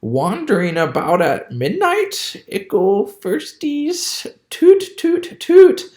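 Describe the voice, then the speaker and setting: A woman's voice: medium pitch, big pitch swings, slow pace, mellow. A woman voicing a mischievous male poltergeist who taunts in a cackling, sing-song way. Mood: gleeful taunting. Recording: roomy echo.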